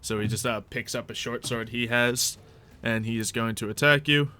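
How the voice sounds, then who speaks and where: A man with a bright, keen-edged voice runs a tabletop game. He improvises the action and talks through the rules casually. A light layer of insect-like ambience sits behind his medium-pitched voice. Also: steady tone, moderate pace, a little hiss or hum. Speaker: game master.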